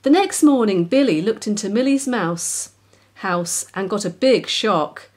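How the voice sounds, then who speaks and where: A woman's voice: mid-range voice, lively intonation, a little slow, a little mellow. A woman narrating a children's story expressively as its author.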